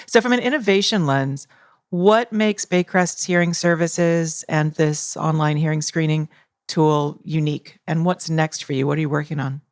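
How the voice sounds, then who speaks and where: A woman's voice: deep voice, steady tone, moderate pace, no echo, clean studio sound. Chatty woman podcast co-host. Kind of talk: casual podcast interview.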